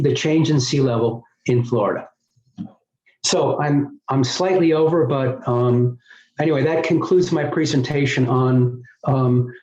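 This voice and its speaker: A man presenting as a scientist, summing up academic material in measured, deliberate phrases. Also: a little high, darkish tone, lo-fi sound. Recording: echoey room sound.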